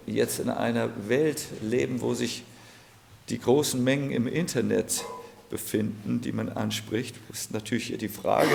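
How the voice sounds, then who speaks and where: A man, a spiritual teacher, giving an informal, gently joking talk to a seated audience in a room. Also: medium pitch, gravelly, a little hiss or hum. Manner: unhurried, gently joking, thinking aloud. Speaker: spiritual teacher leading an evening gathering. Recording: live audience heard around the speaker.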